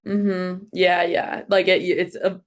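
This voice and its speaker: A woman's voice: fairly low pitch, little pitch movement, takes it slowly. A young adult woman hosting a podcast in casual chat, bubbly and gushing, with lots of "like" and "oh my God". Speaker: podcast host.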